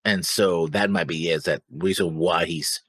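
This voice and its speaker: Deep, husky man thinking aloud. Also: fairly even intonation, a little slow, a bit bright, dry recording. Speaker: reaction show co-host. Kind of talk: casual discussion.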